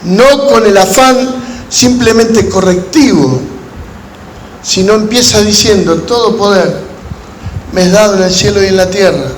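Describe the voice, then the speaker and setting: An Argentine man preaches in a noticeably high voice, phrasing deliberately and leaving pauses as he moves between teaching and storytelling. The sound is echoey, with faint noise behind him. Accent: Argentine Spanish. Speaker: pastor. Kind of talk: sermon to a gathering of pastors, with storytelling. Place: large room at a church conference. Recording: echoey hall with background noise.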